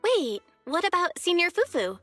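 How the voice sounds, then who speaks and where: An actress playing a curious, sharp young sister and junior disciple in a video game, with an inquisitive manner. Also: female voice, extremely high pitch, sing-song, moderate pace, dry recording, faint music behind, exaggerated voice.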